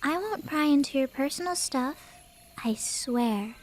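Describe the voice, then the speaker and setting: Woman acting as a high-voiced, teasing cat girl. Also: lively intonation, measured pace. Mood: playful, coaxing.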